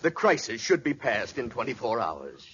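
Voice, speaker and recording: An actor playing a mock-grave family doctor in a 1940s radio comedy, with a deliberate bedside manner. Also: male voice, medium pitch, very animated, a bit bright. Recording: very noisy, dull old radio recording.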